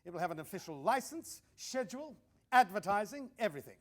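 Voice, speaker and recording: A man's voice: high voice, very animated, quick pace, fairly bright tone, full character voice. A man playing an enthusiastic, visionary 1920s wireless company man in a radio play, speaking emphatically and declaiming. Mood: earnest, excited. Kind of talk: radio drama acting.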